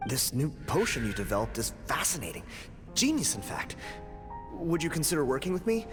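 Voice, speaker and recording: An actor playing an enthusiastic business suitor in a video game, a man with an eager, flattering manner. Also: fairly high pitch, brisk. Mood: impressed, eager. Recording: long reverb, music behind.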